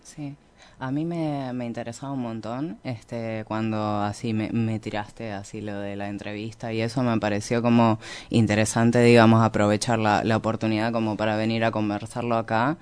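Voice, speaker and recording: A man's voice: on the low side, little pitch movement, moderate pace, clean tone. A man speaking as an interview guest, informal, hesitant and thoughtful. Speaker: interview guest, activist and researcher.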